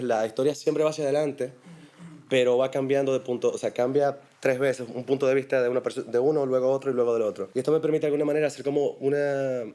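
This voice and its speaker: A man's voice: mid-range voice, darkish tone. A man, a screenwriter explaining his project in a thoughtful way. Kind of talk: interview and pitch.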